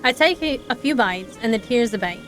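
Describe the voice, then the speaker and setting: Female VTuber, bright higher voice, casual live game commentary and read-aloud dialogue, music in background. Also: animated, brisk. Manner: casual, playful asides. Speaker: streamer, VTuber.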